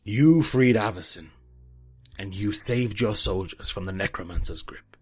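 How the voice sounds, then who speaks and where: A man's voice: fairly low pitch, measured pace, husky, soft background music. A man playing a stern, devout and loyal church soldier in a fantasy audio drama, solemn and formal.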